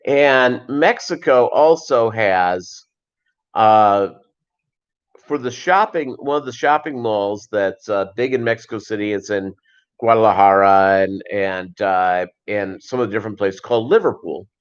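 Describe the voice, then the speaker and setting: A man's voice: lowish voice, measured pace, clean tone, muffled recording. A man hosting a casual livestream, rambling along as he reads out and answers viewer comments. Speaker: livestream host of a fishkeeping channel. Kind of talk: casual live chat with viewers.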